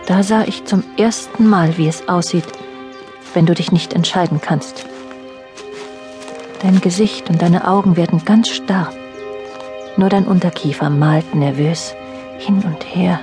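An actress playing a reflective, introspective narrator in a radio play, speaking in a measured, subdued way. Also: female voice, on the low side, music in the background, close to an everyday voice. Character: reflective female narrator addressing an absent man. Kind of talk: scripted audio drama narration.

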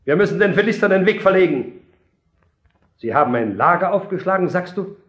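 Bossy war king, urgent orders, pitch all over, roomy echo. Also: animated, brisk, a bit bright. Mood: urgent, commanding. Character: commanding warrior king, urgent and decisive. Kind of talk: German biblical radio play acting. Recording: echoey room sound.

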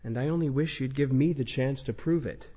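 An actor playing a friendly, earnest neighbor with a husky voice in an audio drama. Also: male voice, mid-range voice, brisk, mellow, close to an everyday voice. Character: friendly, earnest neighbor man who likes make-believe. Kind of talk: full-cast audio drama acting. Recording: dull, lo-fi sound.

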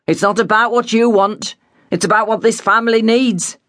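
A woman voicing a worried, quick-tempered working-class father, low, weary and pleading. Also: fairly bright tone, no room sound, clean studio sound, lightly acted. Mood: stern.

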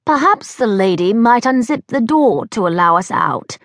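A dignified older British grandmother, as a woman narrator puts her on, with a British accent. She speaks gently and with polite composure. The voice sits a little higher than the reader's own and is recorded dry. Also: animated, normal speed, dry recording. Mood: gentle.

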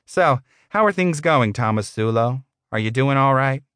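A man's voice: medium pitch, lively intonation, moderate pace, a bit bright, clear, resonant tone, no echo, studio quality, pitched above the performer's own voice, close to an everyday voice. A man voicing a short, sleazy middle-aged office boss in an audiobook, smug, patronizing and blustering.

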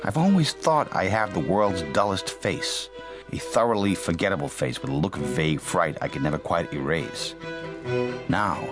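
A man's voice: sits low, animated, normal speed, over music. An actor playing a calculating, detached young American man, narrating dryly and confidingly in a radio drama. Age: young adult.

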